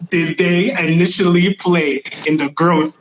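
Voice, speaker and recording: Nigerian guy hosting, kinda high voice, echoey room. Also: fairly even intonation, measured pace, noisy background. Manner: brief affirming interjections, then asks questions. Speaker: interview host. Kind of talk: casual interview.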